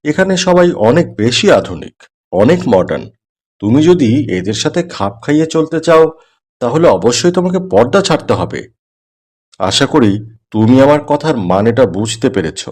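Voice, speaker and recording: A man's voice: mid-range voice, sing-song, normal speed, a little mellow. A man voicing a disapproving, condescending college teacher in a moral-tale cartoon, lecturing his students.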